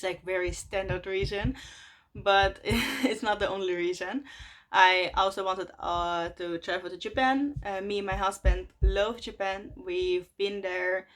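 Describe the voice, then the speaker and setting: A young woman from Russia, a native Russian speaker, chats to camera in English in her twenties. She talks hesitantly, filling pauses with uhs and soft laughs, at an ordinary middle pitch for a woman. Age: 20s. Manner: hesitant, many fillers, laughs softly between phrases. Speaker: vlogger. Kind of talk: casual chat to camera.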